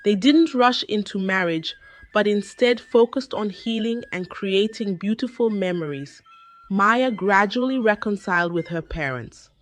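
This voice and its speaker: Slow-paced woman narrating a folktale. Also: mid-range voice, animated. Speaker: storyteller.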